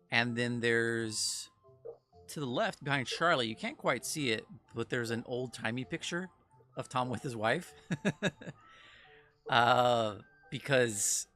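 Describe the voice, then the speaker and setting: Bright-voiced rambling man. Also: mid-range voice. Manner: rambling, self-interrupting, laughs often. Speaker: game developer guest. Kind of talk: casual stream chat.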